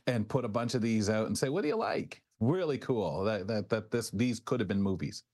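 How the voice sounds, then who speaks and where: A man's voice: lowish voice, expressive, a little fast, darkish tone. A man, chatting casually as co-host of a broadcast magazine show.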